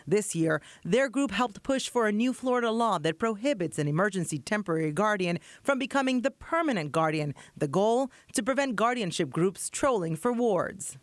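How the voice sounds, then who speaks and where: A woman's voice: medium pitch, expressive, normal speed, few pauses, no echo, clean studio sound. A woman working as a TV investigative reporter, narrating a scripted news package.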